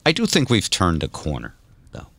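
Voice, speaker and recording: A man's voice: deep voice, wildly swooping pitch, normal speed, creaky, fairly bright tone, dry recording, clean studio sound. A man hosting a podcast, telling stories in a casual, informal and self-deprecating way. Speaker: podcast host. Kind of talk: casual chat, storytelling.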